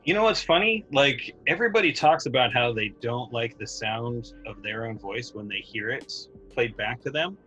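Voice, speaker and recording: A man speaking as a guest in a casual video chat, calm and unhurried. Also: mid-range voice, soft background music.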